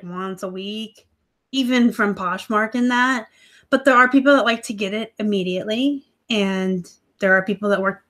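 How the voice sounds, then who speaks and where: A woman's voice: medium pitch, sharp tone. A woman hosting a casual live stream from home, chatting with viewers, a bit snarky and laughing often. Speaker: livestream host, online reseller. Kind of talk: casual live-stream chat reading comments. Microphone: laptop live stream.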